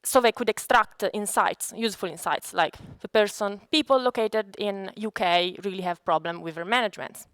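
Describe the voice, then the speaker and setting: A woman from Italy with an Italian accent, a native Italian speaker, giving a conference presentation as a data scientist in a halting, explanatory way. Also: medium pitch, fairly bright tone, smooth, no room sound.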